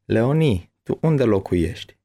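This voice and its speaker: A man reading his part of a bilingual language-lesson dialogue with careful, deliberate enunciation. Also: on the low side, animated, warm tone.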